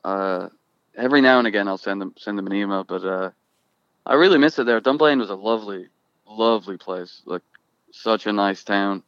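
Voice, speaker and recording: A young man from Ireland with an accent that drifts between Irish, Scottish and American, talking casually and haltingly with many restarts. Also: lowish voice, a bit bright, clear, resonant tone, dry recording, highs cut off. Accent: mixed Irish and Scottish with American. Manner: halting, restarts often, thoughtful. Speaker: guest musician. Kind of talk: casual conversation.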